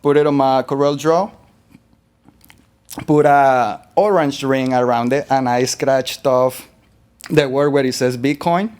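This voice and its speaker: A man from Colombia, a guest artist in an interview, talking in a relaxed, rambling way with fillers. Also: mid-range voice, unhurried, long pauses, smooth. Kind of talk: interview conversation.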